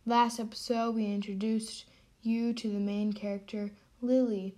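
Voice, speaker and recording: A woman's voice: on the high side, little pitch movement, a little slow, warm tone, natural voice. A woman playing a chatty, sardonic narrator who hosts an amateur radio drama. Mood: gossipy. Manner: sardonic, informal. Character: chatty, sardonic story narrator hosting the show. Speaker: host.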